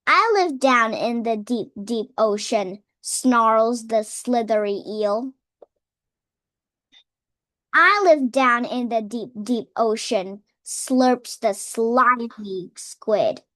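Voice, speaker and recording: A woman's voice: on the high side, drawn-out words, sharp tone, no room sound. A woman reading a children's picture book aloud. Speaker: children's story reader.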